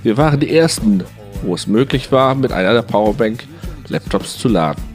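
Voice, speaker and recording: A man's voice: mid-range voice, expressive, normal speed, darkish tone. A man reading a scripted voice-over translation of an interview. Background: music.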